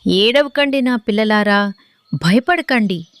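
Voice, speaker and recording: A woman's voice: medium pitch, lively intonation, takes it slowly, a bit bright, no room sound. A woman voicing a benevolent, motherly earth goddess in a children's cartoon, solemn and comforting. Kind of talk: children's animated story dialogue.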